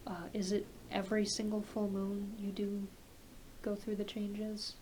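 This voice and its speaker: A soft-spoken woman, often dropping into a whisper, gently playing a reassuring doctor in an ASMR roleplay. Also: mid-range voice, completely flat delivery, measured pace, rough recording. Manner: gentle, reassuring, hesitant questioning. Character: kindly, attentive doctor examining a patient. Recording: hissy close home recording.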